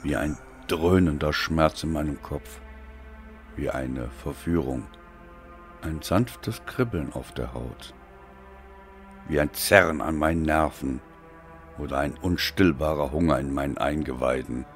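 Very deep, slow, brooding male narrator. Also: faint music behind. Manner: brooding, deliberate, theatrical. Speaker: audiobook narrator. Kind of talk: dramatic scripted narration.

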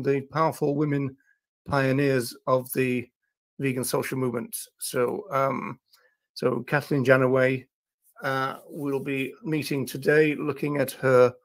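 An older man hosting a casual livestream, talking to viewers in a hesitant, halting way with drawn-out pauses. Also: medium pitch. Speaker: livestream host. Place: small indoor room at a desk.

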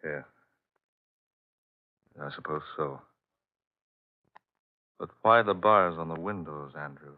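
An actor playing an earnest married man investigating a mystery in a 1940s-style radio horror drama, with deliberate, dramatic delivery. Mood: uneasy, subdued. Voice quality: low-pitched voice. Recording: dull old radio recording.

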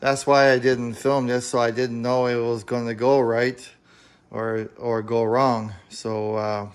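Regular guy filming a diy how-to in his garage, mid voice, kinda halting, off the cuff. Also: medium pitch, flat delivery, clean tone. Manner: halting, hesitant, plain-spoken. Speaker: amateur DIY car repair video maker. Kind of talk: casual unscripted how-to explanation. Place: indoors in a home garage.

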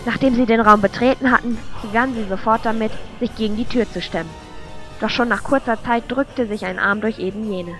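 A woman's voice: a little high, brisk, fairly bright tone. Woman narrating fantasy over music. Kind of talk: scripted fantasy story narration. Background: clear music.

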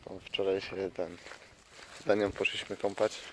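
Male travel vlogger, lowish voice, off-the-cuff chatty delivery, clearly noisy open-air sound. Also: little pitch movement, normal speed. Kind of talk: casual vlog chat, unscripted. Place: outdoors by a lake. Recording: noisy outdoor recording with clicks.

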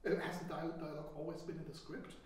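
A man's voice: a little high, little pitch movement, quick pace. Man, interviewer, film questions, distant from the mic, background noise. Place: indoor room, away from the mic. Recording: echoey, distant, noisy off-mic pickup.

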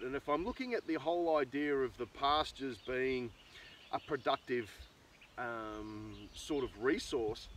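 A middle-aged Australian man talking casually and unscripted, thinking aloud as a farmer making a vlog in an open field. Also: mid-range voice. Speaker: farmer and video host. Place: outdoors in an open rural pasture. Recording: distant outdoor pickup with ambient noise.

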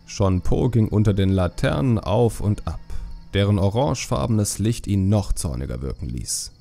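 A man's voice: lowish voice, expressive, normal speed, creaky, warm tone, soft background music. A man reading scripted fantasy story narration as an audiobook narrator.

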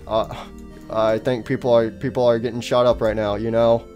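Man as a rambling, oblivious low-key cartoon fox. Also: flat delivery, moderate pace, a little mellow, muffled recording, faint music behind, natural voice. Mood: hesitant, puzzled. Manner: hesitant, stammering, rambling. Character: amnesiac animatronic fox, calm, oblivious, hesitant. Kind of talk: amateur comedy skit voice acting.

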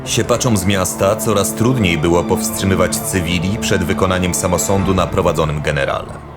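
A man narrating a scripted dark fantasy audio drama as the storyteller. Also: low-pitched, normal speed. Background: music.

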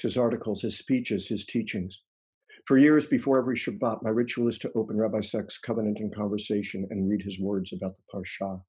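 Male, 60s, solemn tribute speaker; husky, dark tone, measured phrasing over a video call. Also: on the low side, low-quality recording. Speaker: rabbi giving a tribute. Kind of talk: scripted eulogy, read aloud. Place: indoor room at home, video call.

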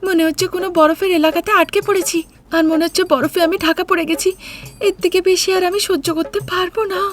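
A woman's voice: very high-pitched, steady tone, fast talker, voice pushed up. A woman voicing an earnest, stubborn young daughter-in-law in a cartoon story, pleading yet firm as she argues. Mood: shivering complaint. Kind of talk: Bengali cartoon story acting.

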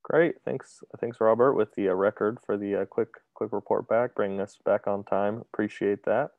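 Male moderator, gravelly and lowish, dry phone-like audio. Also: steady tone, moderate pace, no room sound. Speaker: meeting moderator. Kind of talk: moderating a meeting.

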